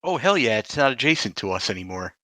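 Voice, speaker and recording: A man's voice: medium pitch, sing-song, fast, bright, forceful tone, no echo. A man playing a tabletop game, chatting casually in a sweary, colloquial way.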